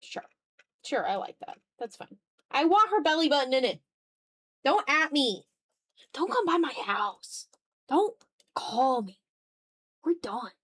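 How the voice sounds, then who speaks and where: A young adult woman chatting casually on an art livestream, animated and slangy, with a self-deprecating way of talking. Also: sits high, slow, long pauses. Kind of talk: casual livestream chat while drawing.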